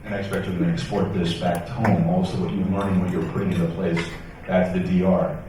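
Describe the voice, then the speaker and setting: A man's voice: deep voice, fast, noisy background. A man moderating a conference panel, encouraging the speakers with brief remarks. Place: conference hall. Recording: echoey hall, distant mic.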